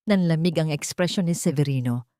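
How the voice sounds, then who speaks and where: Woman, Filipino accent, lower-pitched voice, dramatic audiobook narration, close dry recording. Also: a little fast, warm tone, no room sound. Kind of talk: dramatic scripted story narration.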